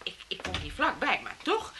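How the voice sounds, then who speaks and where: A woman's voice: mid-range voice, huge pitch swings, normal speed, sharp tone, a little hiss or hum. An actress playing a prim, coy lady with genteel, polite manners in a comedy radio play. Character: prim, coy lady seeking an escort home.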